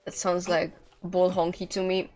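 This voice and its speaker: A woman's voice: fairly low pitch, monotone, moderate pace, darkish tone. A woman giving casual, offhand, skeptical live commentary while streaming a video game. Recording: faint game music behind the voice.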